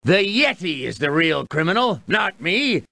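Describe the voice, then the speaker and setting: Greedy schemer guide for a kids game, raspy n slow, swoops all over. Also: male voice, high-pitched, very animated, bright, forceful tone, no room sound, full character voice. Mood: cornered, pleading. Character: shifty, greedy treasure-hunting guide, talking like a pirate. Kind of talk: animated game cutscene acting.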